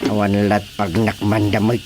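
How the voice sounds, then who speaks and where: A man's voice: lowish voice, monotone, moderate pace, over music, lightly acted. An actor with a Filipino accent playing a bossy, exasperated older village chairman in a radio comedy drama. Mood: grumbling. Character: grumbling, bossy male village chairman, a father.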